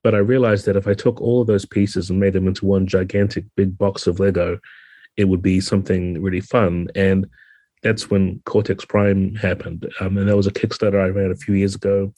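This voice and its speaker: Low, mellow man with a husky edge. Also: flat delivery, normal speed, no echo. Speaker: guest, game designer. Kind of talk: podcast interview conversation.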